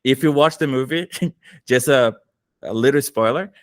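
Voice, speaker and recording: A middle-aged man from Brazil with a Brazilian Portuguese accent, talking eagerly as a podcast guest and often pausing to search for words. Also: medium pitch, no echo, clean studio sound. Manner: enthusiastic, searching for words, frequent fillers. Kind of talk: casual interview conversation.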